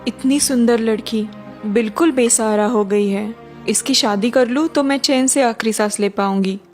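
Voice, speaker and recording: A woman's voice: a little high, moderate pace, darkish tone, faint music behind, natural voice. A woman voicing a kindly, worried elderly grandmother and mother-in-law in a children's story cartoon, with an earnest, caring delivery. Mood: consoling, concerned. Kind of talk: Hindi moral-story cartoon dubbing.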